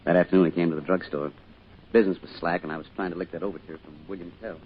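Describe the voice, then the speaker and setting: Muffled old radio recording of an actor as a small-town father with a deep, husky voice. He talks quickly in a folksy, wistful, reflective way, as in vintage radio drama. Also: fairly even intonation, over music, natural voice. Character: loving, wistful small-town father, grieving his son.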